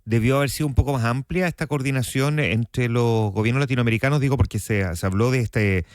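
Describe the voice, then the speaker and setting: A man's voice: medium pitch, brisk, a little mellow, dry recording, studio quality. A man hosting a radio news interview, building his questions gradually with hesitations and repeated words.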